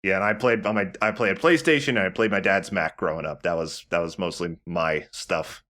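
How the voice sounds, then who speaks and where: A man with a fairly low voice talks casually as a let's play host. His delivery is conversational and halting, as he works out his thoughts about games while speaking. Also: a bit bright. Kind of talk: casual gaming commentary.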